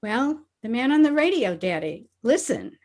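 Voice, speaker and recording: Woman playing a high-voiced eager teenage girl. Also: sing-song, moderate pace, a little mellow, a little hiss or hum. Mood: coaxing, earnest. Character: eager teenage schoolgirl, the principal's coaxing daughter. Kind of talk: old-time radio comedy acting.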